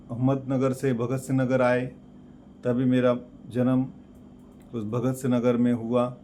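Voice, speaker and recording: A man prays and then tells his story of faith, very slowly and haltingly. He keeps repeating "Lord" and "Father" between short phrases. His pitch sits in the middle range, and faint noise runs under the recording. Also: dark tone. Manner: slow, halting delivery with many repeated "Lord" and "Father" fillers. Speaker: preacher giving a testimony. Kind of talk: prayer and personal testimony.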